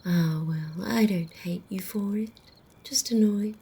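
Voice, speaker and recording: A woman playing a haughty, sardonic female vampire in an ASMR roleplay, speaking softly and slowly, often slipping into a whisper, with a condescending, teasing drawl. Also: medium pitch, husky, exaggerated voice. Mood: grudging annoyance. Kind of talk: ASMR roleplay acting, close and intimate.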